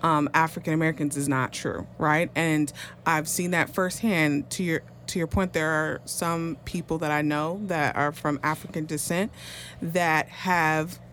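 A man, a councilman, giving an earnest, measured answer on a panel. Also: a little high, steady tone, a bit bright, a little hiss or hum. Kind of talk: panel discussion.

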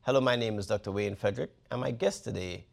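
A man's voice: fairly low pitch, fast talker, gravelly. A man hosting an interview podcast, asking questions with many fillers and restarts. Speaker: university president, host.

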